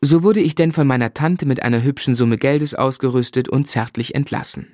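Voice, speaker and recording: Radio drama lead: male actor as reflective young gentleman, mid-pitched, measured and sincere. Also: dry recording, studio quality, natural voice. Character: reflective, earnest young gentleman telling his life story. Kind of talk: literary radio drama narration and dialogue.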